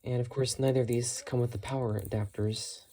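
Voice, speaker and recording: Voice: mid-range voice, fairly even intonation, brisk, warm tone. A hobbyist vlogger giving a casual, unscripted show-and-tell in a matter-of-fact way, musing tentatively while talking. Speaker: hobbyist vlogger showing thrift-store radio finds.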